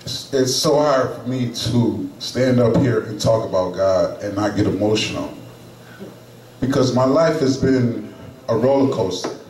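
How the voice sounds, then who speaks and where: A middle-aged man preaching a sermon in a small chapel into a handheld microphone, emphatic and pausing often. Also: medium pitch, a little mellow, a little hiss or hum. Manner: slow, emphatic preaching with pauses. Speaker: lay preacher. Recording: echoey room, camera at a distance.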